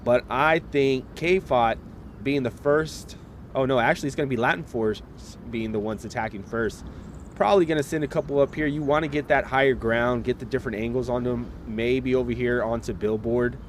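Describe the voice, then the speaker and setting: A man casts an esports match in an easy, chatty way, speaking right to the viewers. His voice sits at a middle pitch and carries a slight cold. Faint outdoor noise hums behind him. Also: normal speed. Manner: relaxed, chatty, talks straight to viewers. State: getting over a slight cold. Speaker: esports caster. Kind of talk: live esports match commentary.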